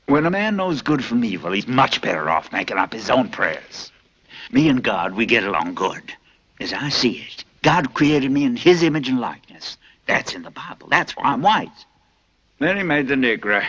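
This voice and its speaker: A cruel old plantation master, 60s, smug drawl, low husky voice, muffled film audio. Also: male voice. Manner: self-satisfied drawl, turning to furious shouting. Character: sixty-year-old male plantation master, pompous, cruel. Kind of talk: film acting. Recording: dull lo-fi film sound with music.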